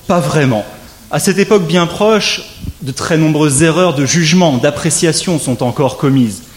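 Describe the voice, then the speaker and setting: A man, a legal scholar, giving a formal conference lecture, measured with wry asides and rising emphasis on key points. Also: fairly high pitch, a little hiss or hum.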